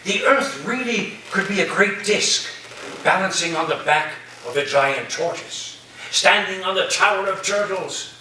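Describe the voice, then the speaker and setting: Man acting a high, bright, eccentric professor, echoey room. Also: a little slow, full character voice. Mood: musing, provocative. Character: eccentric male philosophy professor full of wild conspiracy theories. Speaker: actor in a staged reading. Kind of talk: staged play reading, theatrical lecturing. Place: reverberant room, staged reading before listeners. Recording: distant echoey room recording, faint noise.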